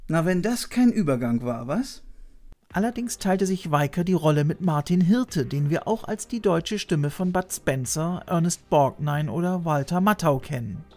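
A man's voice: on the high side, lively intonation, normal speed, darkish tone. A man in his thirties narrating a scripted piece about voice actors as host, informative with chatty playful asides, recorded at a studio mic in a small room lined with shelves. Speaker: host of a retro TV channel. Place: small room full of shelves of videos and toys. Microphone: studio mic on a boom arm.

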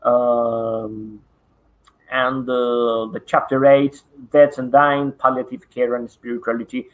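A man's voice: mid-range voice, flat delivery, slow. A middle-aged man from Argentina with a Spanish accent, presenting over a video call in hesitant English full of fillers. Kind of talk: online conference presentation. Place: home study with bookshelves. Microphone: webcam video call. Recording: dull lo-fi video call audio.

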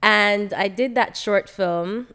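A woman's voice: medium pitch, takes it slowly, clean tone. A young woman with a Jamaican accent, telling stories casually and expressively as a podcast guest, acting out dialogue as she goes. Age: young adult. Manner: expressive, acts out quoted dialogue. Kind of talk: animated casual storytelling. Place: bright loft living room. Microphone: podcast microphone on boom arm.

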